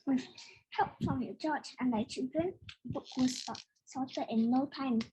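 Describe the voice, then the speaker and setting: A woman reading a children's picture book aloud in an expressive way, as if to young listeners. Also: sits high, measured pace, slight background noise, low-quality recording. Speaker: read-aloud storyteller.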